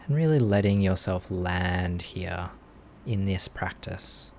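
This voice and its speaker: A man leading a guided meditation as a teacher, speaking gently in short phrases with long pauses. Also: low voice, vocal fry, a little hiss or hum. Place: live online group session.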